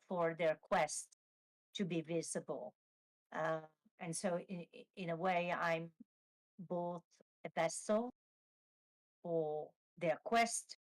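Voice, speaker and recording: A woman's voice: fairly low pitch, poor audio. A woman author with an Italian accent, a native Italian speaker talking in English, hesitant with many fillers and restarts, speaking over a video call. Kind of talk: live online Q&A.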